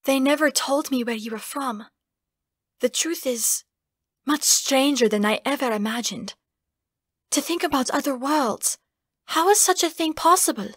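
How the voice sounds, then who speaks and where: A woman plays a young servant woman from a fantasy audiobook, lifted in pitch and full of wistful wonder. She sounds earnest and deferential, in a dry, close recording. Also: expressive, measured pace, pauses often, dry recording. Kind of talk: fantasy audiobook character acting.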